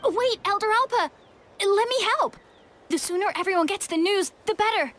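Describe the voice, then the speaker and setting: A woman's voice: very high-pitched, expressive, moderate pace, fairly bright tone, slight background noise. A woman voicing an eager, helpful youngster of a warrior tribe in a video game, with a youthful voice. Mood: urgent, eager.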